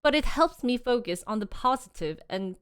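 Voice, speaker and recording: A young woman with a Korean accent, chatting on a casual live stream, animated and laughing often as she reads sample sentences aloud. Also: a little high, moderate pace, clear, resonant tone.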